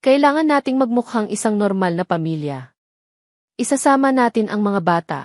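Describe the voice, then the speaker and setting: A woman's voice: medium pitch, lively intonation, moderate pace, no echo, studio quality, natural voice. A woman voicing a cold, wealthy businessman husband in an audio story, curt and commanding. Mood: cold, irritated.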